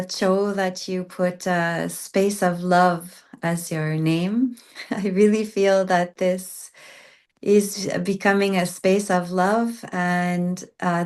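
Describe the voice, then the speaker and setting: A woman sharing her thanks with an online group, warm and unhurried. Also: fairly low pitch. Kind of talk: casual heartfelt sharing on a video call. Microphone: video call.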